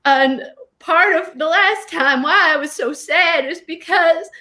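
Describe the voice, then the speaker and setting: A woman's voice: very high voice, takes it slowly, sharp tone, exaggerated voice. A woman playing a tearful, gushing, churchgoing grandmother as a guest on a comedy show. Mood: weepy gushing.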